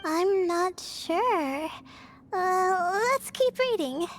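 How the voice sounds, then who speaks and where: A woman voicing a gentle, studious, hesitant young girl scholar in a video game, speaking thoughtfully. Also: very high-pitched, expressive, extremely slow, a little hiss or hum, full character voice. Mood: cautious. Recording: music behind the voice.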